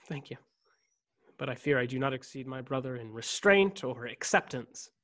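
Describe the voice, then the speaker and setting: A man's voice: mid-range voice, expressive, fairly bright tone, natural voice. A man in his thirties playing a brooding, stiffly formal clone in a science-fiction radio play. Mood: bitter, restrained. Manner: measured, stiffly formal diction. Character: brooding, formal young man, secret clone of noble family. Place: home room on video call. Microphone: desk condenser microphone, headset.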